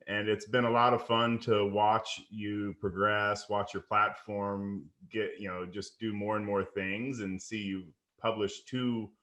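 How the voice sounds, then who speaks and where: Bright-voiced male podcast host. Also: fairly low pitch, flat delivery, a little slow, few pauses. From United States. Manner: friendly, upbeat with fillers. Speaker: podcast host, wealth manager. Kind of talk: casual interview.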